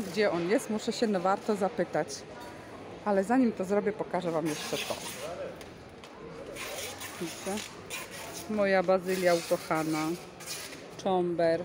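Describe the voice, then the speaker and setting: A woman hosting a casual vlog, chatting enthusiastically and explaining goods as she films with a handheld phone in a large covered market hall. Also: medium pitch. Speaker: vlog host. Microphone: handheld phone camera, speaker behind it. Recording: busy market crowd noise. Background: bustling market crowd.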